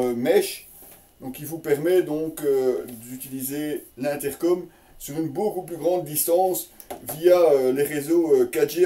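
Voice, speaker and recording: A man presents gear in a casual, unscripted way, his voice slightly high-pitched yet very dark and soft-edged. He talks haltingly, repeating words and slipping in tongue-in-cheek remarks. He sounds some distance from the microphone. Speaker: host of a motorcycle gear unboxing channel.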